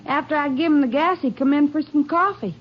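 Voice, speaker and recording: A woman's voice: sits high, a little fast, slight background noise, lightly acted. A folksy cafe woman, played by an actress. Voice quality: folksy drawl. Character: chatty rural woman at a roadside cafe. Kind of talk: 1950s radio drama acting. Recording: dull old radio broadcast.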